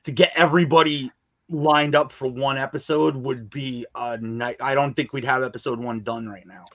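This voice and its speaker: Male podcast guest, medium pitch, colloquial and emphatic, very dry sound. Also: fairly even intonation, normal speed, fairly bright tone, no echo. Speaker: guest, audio drama writer and filmmaker. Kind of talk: casual podcast interview.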